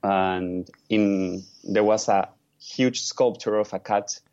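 A low-pitched man from Peru talks as a guest on an interview podcast. His answers are halting, with frequent restarts and fillers. His voice comes through a muffled, lo-fi remote line. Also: fairly even intonation, a little mellow. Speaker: environmental lawyer, guest.

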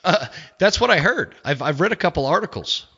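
A man's voice: high voice, expressive, sharp tone, husky. Friendly male podcast host, slightly muffled. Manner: friendly, halting with fillers. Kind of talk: casual podcast interview. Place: podcast studio.

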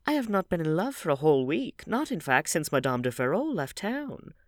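A man voicing a flippant young society gentleman with a polite, playful, exclamatory manner for an audiobook. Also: on the high side, big pitch swings, brisk, dry recording, studio quality, voice pushed up.

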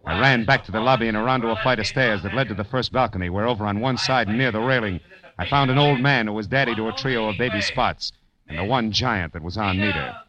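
An actor playing a wry, world-weary private eye in 1940s radio noir style. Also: male voice, lowish voice, quick pace, crowd noise, natural voice. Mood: tense, wry. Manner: wry, clipped storytelling. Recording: dull old 1940s radio recording, music behind.

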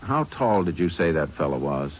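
An actor playing a calm, steady Texas Ranger who patiently questions a witness in a 1950s radio drama. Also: male voice, deep voice, moderate pace, husky, full character voice. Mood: steady questioning. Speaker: narrator and lead. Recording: dull old radio broadcast with faint hiss.

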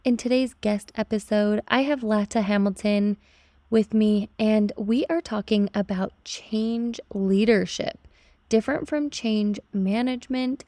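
A woman's voice: medium pitch, fairly even intonation, unhurried, warm tone, no room sound. Upbeat woman hosting a podcast. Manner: upbeat, scripted intro then friendly chat. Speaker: podcast host. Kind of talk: podcast host interview.

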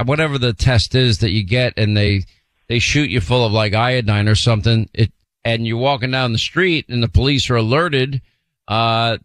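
A male talk radio host at a mid-pitched studio mic, with a close, dry sound and no room around him. He presses his points hard, slowing his words right down when something matters. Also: fairly bright tone, dry recording. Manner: emphatic, slows down to stress points. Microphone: studio microphone.

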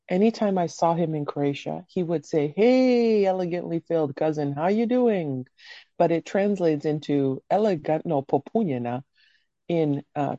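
A woman's voice: deep voice, warm tone, no room sound, highs cut off. A woman raised in Canada, talking as a podcast guest in a candid, rambling way with casual swearing. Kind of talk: casual interview chat.

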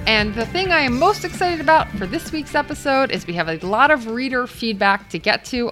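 A young woman co-hosting a sports podcast, talking in a calm, friendly, measured way. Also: fairly high pitch. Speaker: podcast co-host. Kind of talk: casual sports podcast chat.

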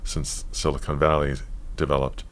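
A man in his fifties from California, a podcast guest reflecting on his past in a relaxed, storytelling way. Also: rumbling low, normal speed. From California, USA. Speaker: podcast guest, yoga teacher. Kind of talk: casual interview conversation.

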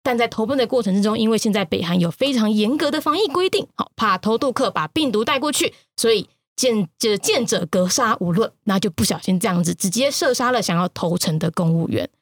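Taiwanese woman podcaster, kinda husky, super animated teasing news storytelling. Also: a little high, a little fast, few pauses, no echo, studio quality. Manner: expressive, teasing.

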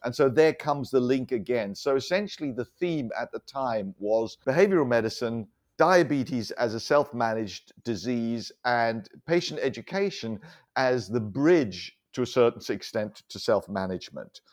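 Man, middle-aged, from Germany, physician, interview guest, conversational, reflective, careful explainer, medium pitch. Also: animated, moderate pace. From German, raised abroad. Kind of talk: conversational life-story interview.

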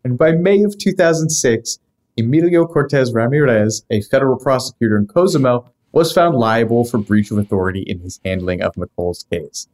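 A man's voice: mid-range voice, normal speed, a little mellow, low-quality recording. A man hosting a podcast, reading scripted narration broken up by casual asides. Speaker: podcast host.

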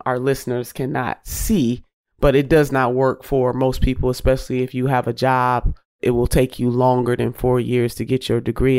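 A woman's voice: very deep voice, darkish tone, dry recording, studio quality. A woman hosting a podcast interview, sounding nasal as she gets over a cold, talking emphatically with preacherly catchphrases. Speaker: podcast host.

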